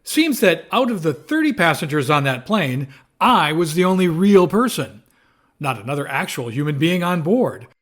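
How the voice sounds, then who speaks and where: A man playing an excitable, incredulous newspaper reporter in an audio drama. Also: fairly high pitch, normal speed. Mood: wry recounting. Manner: exclamatory, incredulous.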